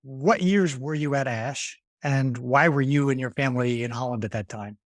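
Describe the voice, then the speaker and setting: A man in his fifties hosting a podcast, asking questions in a friendly, measured way. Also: medium pitch, no echo, clean studio sound. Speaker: podcast host. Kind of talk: hosted interview.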